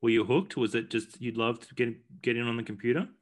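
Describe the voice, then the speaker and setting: A man's voice: medium pitch, fairly quick. A man hosting an interview podcast, eagerly asking questions and often repeating words as he starts them. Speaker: podcast host.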